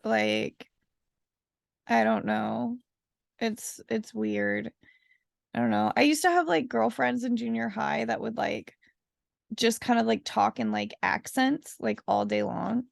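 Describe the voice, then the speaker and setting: A young woman with a rather high voice full of heavy gravelly fry, chatting casually as a podcast co-host. She rambles through lots of "like" fillers and breaks into laughs, recorded close and dry. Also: expressive, takes it slowly, no echo. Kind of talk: casual chat.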